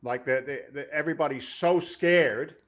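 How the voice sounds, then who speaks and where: A man with a loud, emphatic voice co-hosts a casual investing show, blunt and quick to swear. He leans hard on his key points. The recording sounds a bit dull and lo-fi. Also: a little high. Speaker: podcast co-host.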